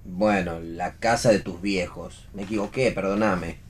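A man's voice: low-pitched, fairly even intonation, measured pace, slight background noise. A man with an Argentine accent playing a nervous, defensive young boyfriend in a radio play reading. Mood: uneasy. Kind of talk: read theatre for radio.

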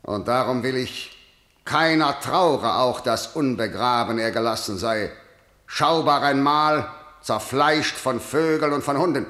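A man's voice: mid-range voice, measured pace, sharp tone. An actor playing a harsh male tyrant in a classical verse radio play, declaiming commands and threats. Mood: proclaiming. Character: harsh, stern male tyrant ruling a city. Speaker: ruler of the city. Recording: roomy hall reverb.

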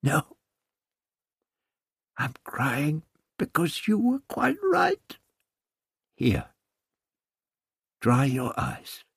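A man's voice: fairly high pitch, wildly swooping pitch, drawn-out words, vocal fry, pauses often, slightly rough, dry recording, clean studio sound, voice pushed up, exaggerated voice. A man voicing an earnest, anguished woman in an audiobook story, with a pleading, emotional delivery. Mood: sobbing, pleading.

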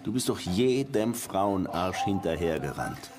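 Wry middle-aged cop, low voice, swooping intonation, nostalgic, colloquial, radio drama over music. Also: male voice, expressive, unhurried. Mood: nostalgic, musing. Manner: musing, colloquial. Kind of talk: naturalistic German radio crime drama acting. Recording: music under the dialogue.

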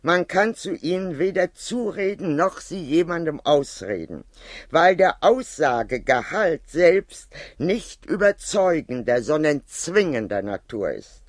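A man with a somewhat high voice delivers a philosophical lecture from a written text. His phrasing is measured and precise, with deliberate emphasis. The sound is dry and close, with little room around it. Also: big pitch swings, no echo. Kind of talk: formal philosophical lecture, read from a prepared text.

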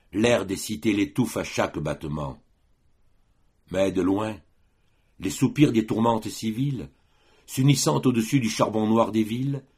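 Male audiobook reader for solemn verse: low-pitched, rough-edged voice, measured declamation with room between phrases. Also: darkish tone. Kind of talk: solemn poetry recitation.

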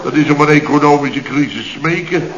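An actor playing a blustering, indignant, pompous company boss in a radio comedy serial, speaking in mock-formal, long-winded phrases. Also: male voice, fairly high pitch, moderate pace, a crowd in the background, natural voice. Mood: exasperated. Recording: roomy, dull old radio recording.

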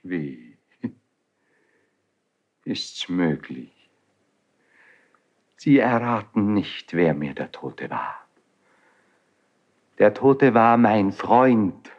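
Casting: impassioned young prince, grieving and defiant, slow, highly dramatic range, classical radio play, dulled vintage sound. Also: male voice, big pitch swings, darkish tone, heavily acted. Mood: grieving, reproachful. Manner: declamatory, impassioned. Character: passionate young Spanish prince, grief-stricken and defiant toward his father. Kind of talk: 1970s German radio drama, classical verse acting. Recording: dull 1970s radio studio recording.

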